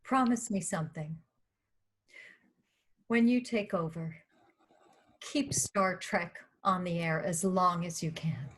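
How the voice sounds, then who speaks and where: A woman acts out an earnest, emotional studio boss in a drama reading. Her voice is low and husky, and she speaks slowly. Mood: pleading. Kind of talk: screenplay table read acting.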